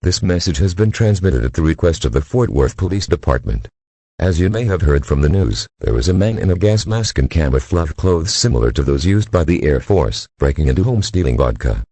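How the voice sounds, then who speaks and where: A man reading a scripted emergency broadcast announcement in a formal, flat, synthetic-sounding manner. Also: rumbling low, a little fast, slightly rough, dry recording.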